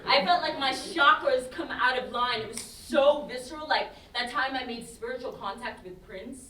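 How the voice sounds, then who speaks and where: Noisy, far-miked stage reading: dramatic, teasing young best friend, high-pitched and slangy, played by a woman. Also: moderate pace, pushed and bright. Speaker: student actor in a staged reading. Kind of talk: theatrical staged play reading. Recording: roomy, distant stage recording with noise.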